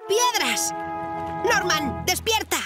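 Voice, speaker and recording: A woman's voice: very high-pitched, very animated, slow pace, music in the background, heavily acted. A woman voicing a worried young boy sidekick, plaintive and unsure, in a children's cartoon dub. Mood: alarmed. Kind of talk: animated children's series dub.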